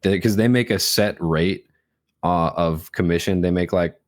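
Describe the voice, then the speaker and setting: A man's voice: low-pitched, moderate pace, no room sound, studio quality. A young man, co-hosting a podcast, telling rambling stories and quoting people in casual chat. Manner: rambling storyteller, quotes people, swears, laughs. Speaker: podcast co-host.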